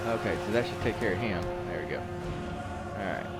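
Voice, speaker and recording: A man giving casual commentary as he plays a video game, rambling and halting as he goes. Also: low-pitched, loud music behind the voice. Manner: rambling, halting, talks while playing. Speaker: host of a gaming show.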